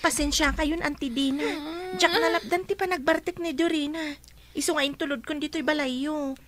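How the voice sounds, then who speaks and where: A woman's voice: high voice, fairly even intonation, moderate pace, slight background noise. A woman playing a concerned, loyal young friend in a Filipino radio drama. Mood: apologetic. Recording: loud crowd behind the voice.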